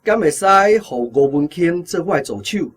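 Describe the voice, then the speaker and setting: Brash, animated army veteran, Taiwanese accent. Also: male voice, fairly high pitch, normal speed, husky. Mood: persuasive. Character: brash, wily veteran army cook's buyer, man. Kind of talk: Taiwanese radio drama acting.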